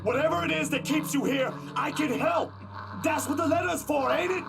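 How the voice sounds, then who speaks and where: An actor playing an earnest, stubborn young photographer in a horror video game, with slangy, emphatic, pleading speech. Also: male voice, very high voice, normal speed, creaky. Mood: frightened, pleading. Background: game music.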